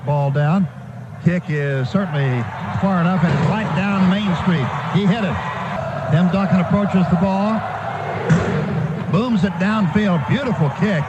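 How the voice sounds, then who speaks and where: High-pitched man calling live football on radio. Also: muffled recording. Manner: clipped running descriptions in short phrases. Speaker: radio play-by-play announcer. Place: stadium broadcast booth. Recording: noisy live stadium radio broadcast.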